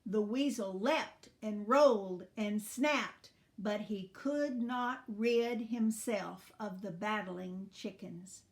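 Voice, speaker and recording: A woman storyteller reading expressively for children in a deliberate, teacherly manner. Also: medium pitch.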